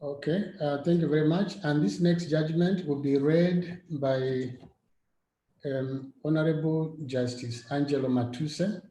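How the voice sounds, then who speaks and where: Echoey room sound with faint noise surrounds a man with a somewhat high, soft-edged and mellow voice. He chairs a court session as its president, courteous and formal, speaking off the cuff. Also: steady tone, a little slow. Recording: roomy echo, faint background noise.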